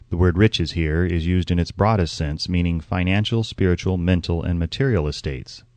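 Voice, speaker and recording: A man reading an audiobook as scripted narration, in a measured, formal and emphatic way. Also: lowish voice, a little mellow, dry recording.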